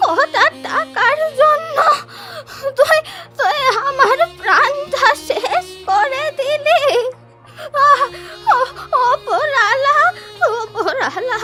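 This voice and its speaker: Very high-pitched old village woman. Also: pushed and bright, heavily acted. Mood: dying, wailing. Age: older. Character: old village woman, humble, anxious, indignant. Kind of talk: cartoon voice acting.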